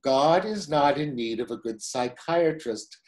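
A man's voice: mid-range voice, lively intonation, unhurried. A man in his sixties, a pastor, preaching a sermon from home to a webcam in a chatty way, with wry asides.

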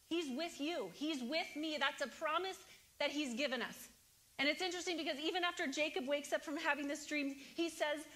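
A woman in her thirties giving a keynote sermon on a large church stage, talking to the congregation in an animated, conversational way and asking them questions. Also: high voice. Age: 30s. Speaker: guest speaker.

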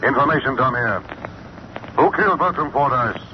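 A man's voice: mid-range voice, expressive, normal speed, close to an everyday voice. Casting: man as eerie, commanding avenger, taunting with swooping intonation, muffled old radio sound. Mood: mocking menace. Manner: taunting, interrogating. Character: mocking, sinister invisible avenger, commanding and eerie. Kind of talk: 1940s radio acting. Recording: muffled, noisy 1940s radio recording.

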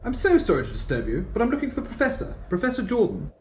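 A man playing a polite, understated gentleman on the run in a homemade radio play. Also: high-pitched, huge pitch swings, quick pace. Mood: polite, earnest. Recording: echoey room, faint noise.

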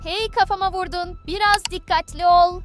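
A woman's voice: very high-pitched, steady tone, normal speed, soft background music. A woman voicing a curious, polite little granddaughter in a children's cartoon. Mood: annoyed.

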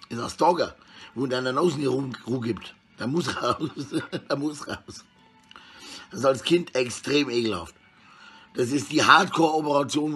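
A middle-aged man with a husky voice rambles casually and coarsely in slang, talking to his phone at arm's length indoors. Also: medium pitch, fairly bright tone. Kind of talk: rambling casual selfie monologue. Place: small indoor room at home.

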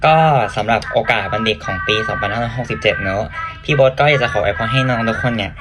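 Fast-talking chatty man over music. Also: lowish voice. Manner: chatty, calls self older sibling, uses feminine polite particles. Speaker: actor giving a holiday greeting to children. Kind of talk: casual scripted-free greeting to viewers.

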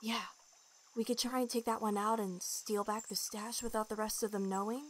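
A woman's voice: fairly high pitch, fairly even intonation, moderate pace, lightly acted. A woman voicing a plucky young archer companion with a casual manner in a fantasy audio drama. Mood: curious, hushed. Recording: music behind the voice.

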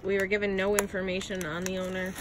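A woman's voice: monotone, quick pace. A woman chatting casually and without a script as a treasure-hunting companion, naming and commenting on items. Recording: very noisy handheld recording, engine-like rumble.

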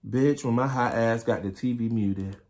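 Animated young man. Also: medium pitch, normal speed. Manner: animated, playful, dramatic exclamations. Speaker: reaction YouTuber. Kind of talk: casual reaction commentary. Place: small home living room, indoors.

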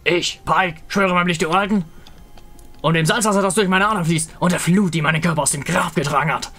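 A man's voice: high voice, a little fast, pushed and bright. Guy doing a creepy undead pirate killer, short menacing phrases, game story w music. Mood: grim. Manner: terse, menacing. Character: eerie, murderous undead male pirate ghoul.

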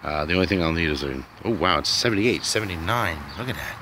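A man's voice: deep voice, expressive, fast, noisy background. A man vlogging outdoors in a sunny yard, thinking aloud in a rambling, unsure way while filming on a phone. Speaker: DIY vlogger. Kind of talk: casual thinking-aloud commentary.

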